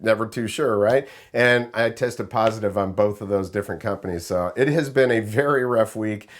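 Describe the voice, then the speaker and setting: Raspy, hoarse-voiced man reviewing gear. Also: on the low side, normal speed. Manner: chatty, rambling, easygoing. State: raspy, hoarse voice after illness. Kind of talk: casual product review vlog.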